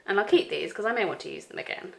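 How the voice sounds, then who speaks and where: A middle-aged woman with a croaky cold voice, chatting casually and apologetically at her craft desk, with lots of ums. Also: mid-range voice, animated, very fast, fairly bright tone. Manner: rambling, apologetic, frequent ums. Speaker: planner vlogger. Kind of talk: casual chat over crafting. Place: home craft desk, indoors.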